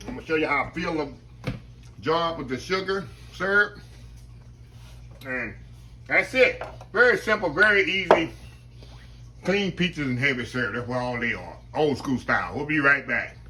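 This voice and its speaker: A man, chatting to viewers as he cooks in his home kitchen, his voice slightly hoarse. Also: a little high, lively intonation, moderate pace, pauses often, bright, forceful tone, slight background noise. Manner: chatty, keeps addressing viewers as y'all. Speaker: home cook. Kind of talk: casual cooking demonstration.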